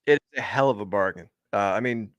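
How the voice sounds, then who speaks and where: A man's voice: on the low side, fairly quick, a bit bright, dry recording, highs cut off. A man co-hosting a casual podcast, chatting in a laid-back way and trading crude jokes. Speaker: podcast co-host. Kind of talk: casual comedic podcast chat.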